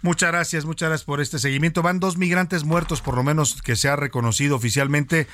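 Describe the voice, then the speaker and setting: A man's voice: a little high, steady tone, brisk, fairly bright tone. A middle-aged Mexican man hosting a radio news interview, chatty with frequent restarts. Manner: conversational, frequent restarts and fillers.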